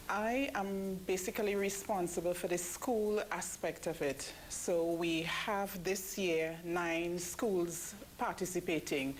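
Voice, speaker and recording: A woman's voice: lowish voice, low-quality recording. A woman giving explanatory answers as an interview guest. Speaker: interview guest, church committee member. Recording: clear background noise.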